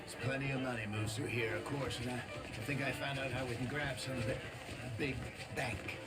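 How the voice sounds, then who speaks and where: An actor playing a shrewd, cautious male outlaw schemer in a game cutscene, deliberate and hinting in delivery. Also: medium pitch, steady tone, close to an everyday voice. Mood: conspiratorial. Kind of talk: video game cutscene acting. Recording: loud fiddle music over the voice.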